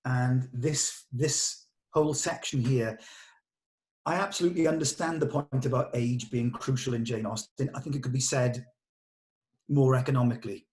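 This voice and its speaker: A man leading a script table read as director, giving notes in a measured, diplomatic and explanatory way. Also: mid-range voice, steady tone, a little mellow. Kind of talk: giving notes to actors and writer.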